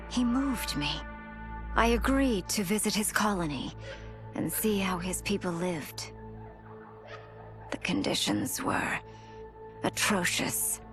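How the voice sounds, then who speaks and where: An actress playing a proud, resolute empress who narrates formally in a measured, regal way for a video game. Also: female voice, fairly low pitch. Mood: grave regret. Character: proud, resolute, compassionate empress recounting her reign.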